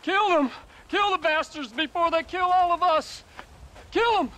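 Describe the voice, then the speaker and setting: A frantic, shrill frontier hunter. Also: male voice, unhurried, slight background noise, full character voice. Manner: rambling, excitable, shouting. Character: frantic old male frontier hunter, wild-eyed. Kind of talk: video game cutscene acting.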